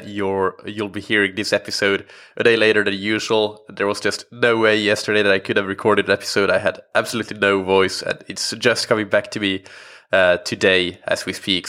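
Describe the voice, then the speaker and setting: Man, podcast host, stuffy congested voice, lowish pitch, casual chatty delivery. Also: pushed and bright. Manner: many fillers and restarts, friendly and chatty. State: congested, recovering from illness. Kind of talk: casual solo podcast talk.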